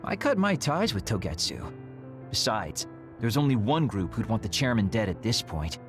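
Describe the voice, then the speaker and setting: A man's voice: on the low side, very animated, normal speed. A man voicing a flippant double-agent assassin in a video game, glib and teasing. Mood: sly, teasing. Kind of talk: video game cutscene acting. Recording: music behind the voice.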